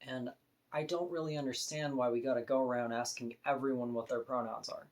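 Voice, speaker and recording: A woman with a deep voice, talking frankly and casually to camera in an opinion vlog, now and then laughing softly. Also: fairly even intonation, a little fast. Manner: frank, conversational, with soft laughs. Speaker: vlogger.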